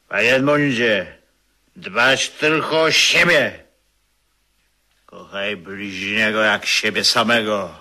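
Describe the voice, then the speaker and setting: A man's voice: fairly low pitch, pushed and bright, full character voice. An actor playing a drunken, self-pitying man in a radio drama, rambling and repeating himself wistfully to his barman. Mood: bitter, desperate. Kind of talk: Polish radio drama acting.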